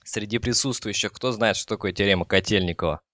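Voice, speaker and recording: Male expert explainer, lowish pitch, informal and halting, dry recording. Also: pushed and bright, no echo. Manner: halting, with frequent false starts. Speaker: telecom engineer explaining as guest expert.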